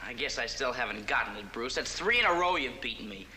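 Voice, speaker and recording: A man plays an eager young male sidekick from a 1960s television comedy, grumbling in a good-natured way. He talks quickly at a medium pitch, with clear noise on the old soundtrack. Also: expressive, close to an everyday voice. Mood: mildly sulky.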